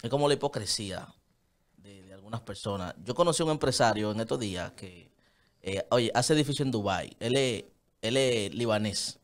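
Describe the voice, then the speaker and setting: A man's voice: lowish voice, a little fast. A man in his thirties with a Dominican Spanish accent, talking earnestly as a guest in a small padded radio studio into a desk microphone. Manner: earnest, gestures a lot while talking. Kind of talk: casual studio chat. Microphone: studio mic on a desk stand, headphones on.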